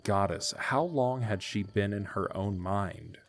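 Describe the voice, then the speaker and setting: A man's voice: fairly low pitch, unhurried, soft background music. A man narrating a scripted story as the narrator of an audio drama.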